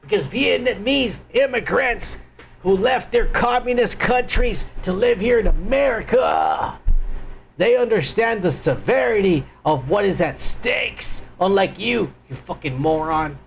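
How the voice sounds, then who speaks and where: A man with a high, gravelly voice hosts a live stream. He rants with crude, angry profanity, and a faint hiss sits under his voice. His talk is loose and heated political commentary. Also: very animated, measured pace.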